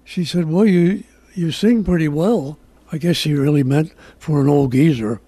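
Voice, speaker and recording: A man's voice: fairly high pitch, moderate pace, mellow, husky, dry recording. A man in his nineties casually reminiscing as an interview guest. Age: 90s. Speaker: interview guest, amateur senior-circuit singer.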